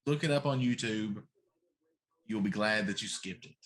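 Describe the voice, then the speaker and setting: A man's voice: fairly low pitch, normal speed. Middle-aged man ranting casually. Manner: ranting, emphatic complaining. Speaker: talk show host. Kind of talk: casual chat about video games.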